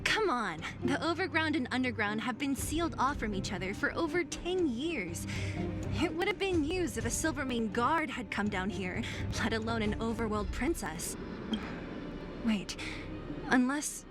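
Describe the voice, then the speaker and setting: A woman's voice: fairly high pitch, animated, moderate pace, over music, natural voice. An actress voicing a brash, tough young woman fighter in a game cutscene, blunt and teasing. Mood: nostalgic. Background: game score.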